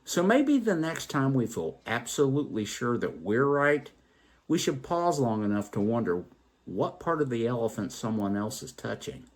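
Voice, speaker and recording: A man telling a story and reflecting on its moral as host, in a theatrical, emphatic, deliberate way. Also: mid-range voice, sing-song. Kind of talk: dramatic poetry recital and moral reflection.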